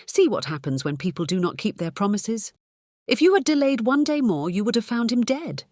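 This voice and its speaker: A woman's voice: fairly high pitch, very animated, moderate pace, a little mellow, dry recording, studio quality. A woman voicing a grand, stately lady in a fairy tale audiobook, grave and formal in manner. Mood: grave warning.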